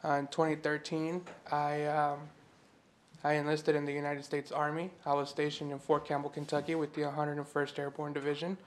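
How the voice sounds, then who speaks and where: A man who grew up in Minnesota introducing himself as a new jail employee, plain and steady with a few fillers. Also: fairly high pitch, moderate pace. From Mexico, raised in Minnesota. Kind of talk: self-introduction at a public meeting.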